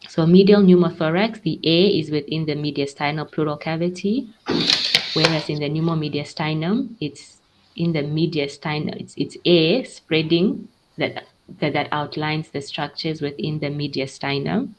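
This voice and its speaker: A woman's voice: deep voice, normal speed, poor audio. A woman giving a medical teaching presentation, explaining in a careful and somewhat hesitant way.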